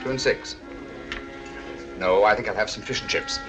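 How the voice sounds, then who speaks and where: An actor playing a shrewd, urbane middle-aged British detective in a 1940s film, speaking with crisp, dry wit and command. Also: male voice, fairly low pitch, normal speed, music in the background, lightly acted. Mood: affable. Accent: British English. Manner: crisp, urbane, dryly witty. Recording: noisy 1940s film soundtrack.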